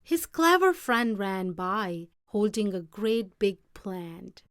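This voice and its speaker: A woman reading a picture book aloud in an expressive, deliberate storytelling manner. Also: medium pitch, no room sound, clean studio sound.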